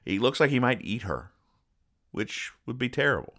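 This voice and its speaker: A man hosting a podcast, describing comic strips in a halting, deadpan, mock-exasperated way. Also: medium pitch. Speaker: podcast host. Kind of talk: comic commentary reading newspaper comics aloud.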